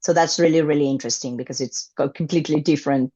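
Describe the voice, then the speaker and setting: A woman's voice: low voice, fast, dark tone, lo-fi sound. A woman in her fifties from Argentina, a native Spanish speaker, talking about her teaching career in an interview, hesitant with many fillers and restarts. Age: 50s. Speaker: guest, English teacher. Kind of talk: conversational interview.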